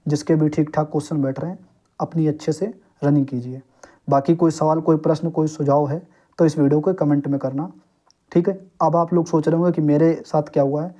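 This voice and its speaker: A man's voice: a little high, warm tone. A young man explaining things informally to his viewers, step by step, often checking that they follow. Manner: instructive, repeatedly checks understanding with short tags. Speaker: exam coaching YouTuber.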